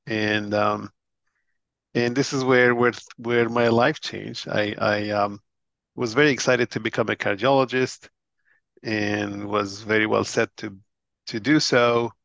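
A gravelly, somewhat low-voiced middle-aged man from Lebanon, speaking as an interview guest. He explains with animated conviction, restarting phrases often. The sound is dry and a little muffled. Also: no echo. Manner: earnest, animated explainer with frequent restarts and laughs. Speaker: interview guest, physician and company chief. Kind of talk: conversational interview.

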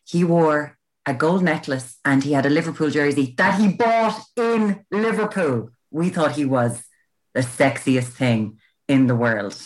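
Low-voiced woman, teasing storyteller. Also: measured pace, low-quality recording. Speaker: podcast co-host. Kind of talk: casual comedic chat.

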